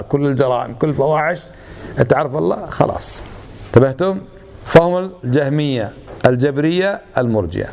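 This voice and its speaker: A man's voice: on the high side, a little mellow, a little hiss or hum. Man teaching a religious lesson. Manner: rhetorical questions, mixes dialect with formal Arabic. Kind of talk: religious lesson to a gathered audience.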